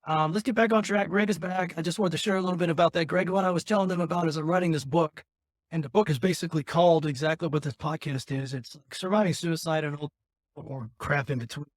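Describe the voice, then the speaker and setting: A man with a raspy voice speaks candidly on his podcast, rambling and restarting as he works through his thoughts. His voice sits a little high for a man. The sound is close and dry, with almost no room around it. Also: fast talker, no room sound. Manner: rambling, earnest, restarts often. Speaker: podcast host. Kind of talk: candid personal talk.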